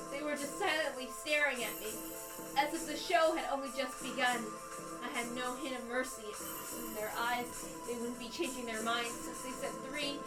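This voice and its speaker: A woman reading first-person visual novel narration dramatically, in a tense, deliberate and emotive way. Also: high-pitched. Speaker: reader on a stream. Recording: roomy, distant mic, music behind.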